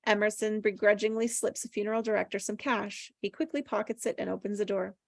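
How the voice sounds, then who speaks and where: Creaky-voiced woman reading stage directions. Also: mid-range voice, fairly even intonation, fairly quick, no room sound, clean studio sound. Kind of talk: scripted narration at a table read.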